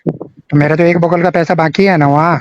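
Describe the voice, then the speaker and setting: A man's voice: a little high, steady tone, normal speed, dull audio, a little hiss or hum. A man disputing a payment in a heated phone argument, persistently protesting and questioning, on a recorded call.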